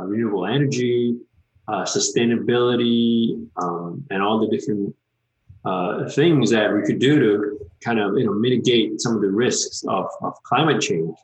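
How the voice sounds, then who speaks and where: A man in his thirties from Malaysia, a guest in an interview, talking casually and relaxed with many "um" and "you know" fillers. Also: lowish voice, unhurried, echoey room, muffled recording.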